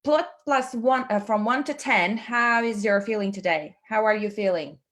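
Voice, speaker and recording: Woman from Latvia, webinar host, upbeat, halting with fillers, video call sound. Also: medium pitch, fairly bright tone. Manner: upbeat, many fillers and restarts, laughs often. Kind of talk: online team meeting presentation.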